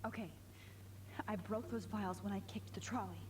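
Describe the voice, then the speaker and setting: An actress playing a determined young woman held captive in a video game, speaking in a hushed, urgent way. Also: mid-range voice, steady tone, normal speed, a little hiss or hum, lightly acted, rough recording. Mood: resolute. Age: young adult.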